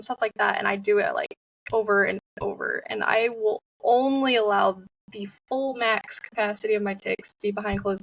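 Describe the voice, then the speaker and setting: A woman's voice: medium pitch, vocal fry, fairly bright tone, dry recording, distant mic, poor audio. A young woman speaking as an interview guest, candid and open with frequent fillers. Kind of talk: personal interview talk.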